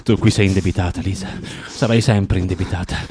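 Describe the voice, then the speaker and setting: A man's voice: low voice, fairly even intonation, a little fast, darkish tone, a little hiss or hum, natural voice. An actor playing a bitter, tormented man in a radio drama, swinging between menacing mutters and dramatic outbursts. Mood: cruel, menacing. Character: bitter, tormented man, cruel then self-loathing. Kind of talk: theatrical radio drama acting.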